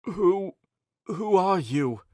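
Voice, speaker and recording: A man's voice: high-pitched, expressive, drawn-out words, darkish tone, no echo, studio quality, pitched above the performer's own voice, exaggerated voice. A man voicing a brave, firm but merciful warrior king in a dramatic fantasy story reading, emphatic and earnest. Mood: weak, alarmed.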